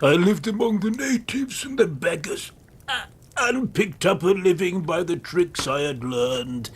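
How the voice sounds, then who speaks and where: Bitter, crippled old ex-soldier, high husky voice, anguished storyteller, radio drama. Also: male voice, lively intonation, heavily acted. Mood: weary, bitter. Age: older. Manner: anguished, slow, emotionally fraught.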